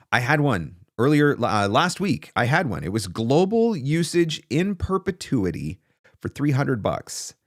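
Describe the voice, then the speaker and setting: Low-voiced man, dry close studio mic. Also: no room sound. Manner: emphatic, rhetorical questions, repeats key phrases for stress. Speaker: host, voice actor coach. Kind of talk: casual livestream Q&A advice. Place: small home studio, indoors. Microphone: close desk broadcast microphone.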